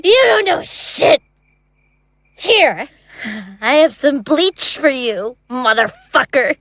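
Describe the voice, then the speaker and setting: A woman's voice: sits high, sing-song, slow, a bit bright, heavily acted. A woman playing a bitter, betrayed and vengeful woman in a horror audio drama. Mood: vengeful, furious.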